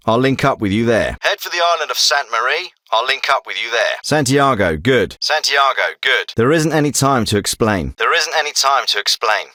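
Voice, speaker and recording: A man's voice: mid-range voice, bright, forceful tone, no room sound. An actor playing a commanding male special forces team leader in a video game, with firm, clipped, businesslike military delivery. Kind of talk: scripted military radio dialogue.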